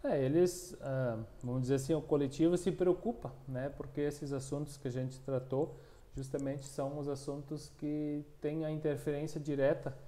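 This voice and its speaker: Halting man with a dark voice. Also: mid-range voice. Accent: southern Brazilian Portuguese. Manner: halting, many restarts and fillers. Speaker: regional union coordinator. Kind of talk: interview answers. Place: small room, plain wall, indoors. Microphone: lapel mic.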